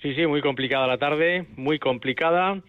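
A man's voice: fairly high pitch, moderate pace, clean tone, no echo. Male traffic reporter on a phone line. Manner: matter-of-fact.

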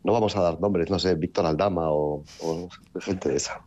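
A man, a novelist from the Basque Country, talking in a relaxed interview with a wry, rambling manner, full of restarts and fillers. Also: deep voice, moderate pace, no room sound, lo-fi sound. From Basque Country, Spain. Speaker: author.

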